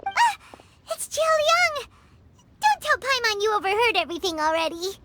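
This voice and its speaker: A woman voicing a small, excitable, childlike fairy companion in a video game, squeaky and full of naive questions and exclamations. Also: normal speed, a little hiss or hum. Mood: alarmed. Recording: music playing behind the voice.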